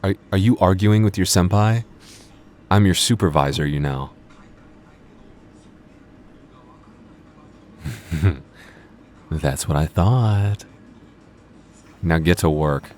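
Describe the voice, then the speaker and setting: A man acts out a young male vampire working the night shift, with an extremely low voice. He is laid-back and dryly teasing, stumbling slightly over his words in close, casual ASMR roleplay dialogue. Some noisy ambience sits behind him. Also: slow pace, long pauses, darkish tone. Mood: playfully indignant. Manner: hesitant, stammering, dryly teasing.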